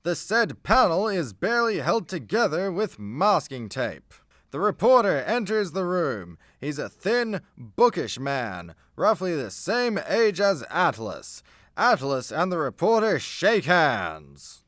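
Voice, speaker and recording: A man's voice: fairly high pitch, big pitch swings, slow pace, a bit bright, dry recording, studio quality. A man reading scripted narration as the narrator of a comedy radio play.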